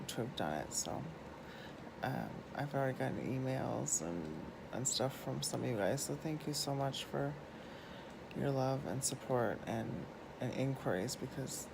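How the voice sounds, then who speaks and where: A man in a hospital room, exhausted and groggy after surgery, speaking quietly and slowly, a little away from the phone. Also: medium pitch, gravelly, noisy background. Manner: quiet, drained, slow. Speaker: vlogger.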